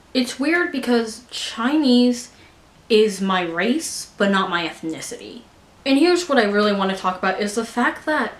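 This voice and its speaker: A young American woman talking earnestly to camera in a personal vlog, stumbling and restarting her sentences now and then. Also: mid-range voice. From United States. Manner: earnest, frequent fillers and restarts. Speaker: vlogger. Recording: echoey room.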